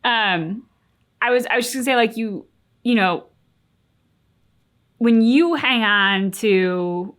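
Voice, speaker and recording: A woman's voice: fairly high pitch, takes it slowly, long pauses, a bit bright. A woman co-hosting a reaction channel, talking casually and reflectively in an earnest, articulate, emphatic way.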